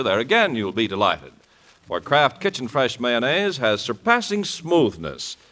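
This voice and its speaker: Bright, very animated 1940s American radio pitchman. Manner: polished salesmanlike delivery. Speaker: radio announcer.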